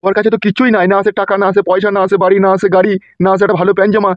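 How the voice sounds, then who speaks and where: A man's voice: high-pitched, level tone, racing, no room sound, close to an everyday voice. A man playing a pushy, lecherous landlord in a comic cartoon, taunting and crude as he fires off questions. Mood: indignant. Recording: dull lo-fi track with music.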